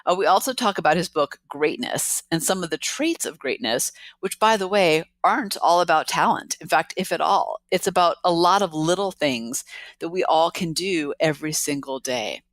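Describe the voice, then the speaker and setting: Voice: medium pitch, lively intonation, fairly bright tone, no room sound. A podcast host giving an upbeat, chatty introduction and then interviewing a guest. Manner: chatty, upbeat, quick laughs. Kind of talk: casual interview intro and chat.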